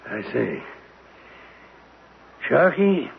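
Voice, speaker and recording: An American actor in a 1970s radio drama, playing a blustering, sarcastic, exasperated oil drilling boss with a gravelly, rough-edged voice. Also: male voice, medium pitch, sing-song, very slow, heavily acted. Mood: sarcastic, incredulous. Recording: tinny narrowband 1970s radio recording.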